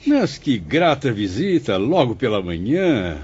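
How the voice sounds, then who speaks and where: A man's voice: medium pitch, sing-song, unhurried, a little mellow, husky, heavily acted. A man playing an inquisitive, courteous spirit in an audiobook drama, speaking formal literary lines. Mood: friendly, curious. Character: inquisitive, courteous male spirit narrating his afterlife memories. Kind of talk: dramatized audiobook narration and dialogue. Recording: dull lo-fi audio with music behind.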